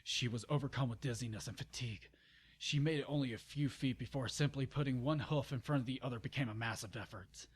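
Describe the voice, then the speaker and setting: Husky man reading a story aloud. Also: medium pitch, quick pace. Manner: exasperated, informal, stumbles over the text. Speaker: narrator and channel host. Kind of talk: amateur dramatic story reading with sarcastic asides.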